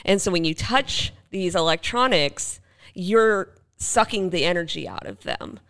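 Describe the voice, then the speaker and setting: A woman's voice: on the low side, animated, unhurried, a bit bright. A woman podcast co-host chatting casually and telling anecdotes, acting out bits of dialogue as she goes. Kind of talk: casual chat, anecdotal storytelling.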